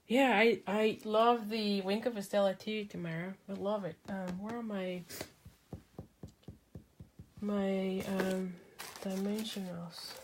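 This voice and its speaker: Chatty woman from Mexico demoing crafts. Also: mid-range voice. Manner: chatty, thinking aloud while she works, many ums. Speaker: crafting host. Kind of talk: live-stream craft demo reading viewer comments.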